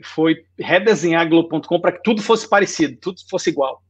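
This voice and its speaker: Um homem na casa dos quarenta com sotaque baiano misturado com carioca, contando sua trajetória numa conversa descontraída, com muitos "né?". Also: male voice, fairly high pitch, fast talker, slightly rough. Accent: Bahian Portuguese mixed with Rio. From Salvador, Bahia, Brazil. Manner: relaxed storytelling, frequent "né?". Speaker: guest designer. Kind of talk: casual interview chat.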